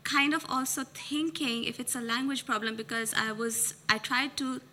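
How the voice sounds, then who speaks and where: Soft-spoken bright woman, Urdu speaker. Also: fairly high pitch, steady tone, normal speed. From Pakistan. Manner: hesitant, many fillers, soft-spoken. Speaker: audience member asking a question.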